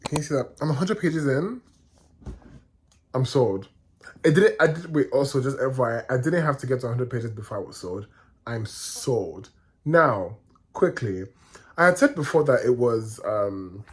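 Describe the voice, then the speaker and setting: Excitable man gushing about books. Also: mid-range voice, a little slow, pauses often, breathy edge. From Nigeria. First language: Yoruba. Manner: excitable, gushing, rambling with restarts. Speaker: book vlogger. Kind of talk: casual reading vlog chat.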